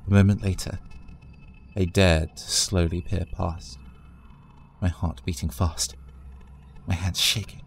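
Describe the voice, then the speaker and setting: A man's voice: sits low, little pitch movement, takes it slowly, gravelly, pauses often, a little hiss or hum. A man narrating a scripted first-person horror story in a dramatic, tense storytelling manner.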